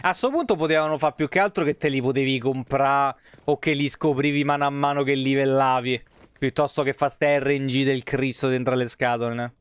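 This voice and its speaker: Smooth-voiced Roman man streaming a game. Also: medium pitch, steady tone, a little fast, no room sound. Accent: Roman Italian. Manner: colloquial, slangy, rambling asides. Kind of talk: casual live game commentary, chatting with viewers.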